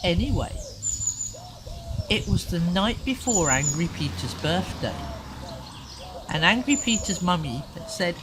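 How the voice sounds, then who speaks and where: Animated woman telling a children's bedtime story. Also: on the low side, a little slow, long pauses, background noise. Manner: animated, chatty asides to the listener. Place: in a tent.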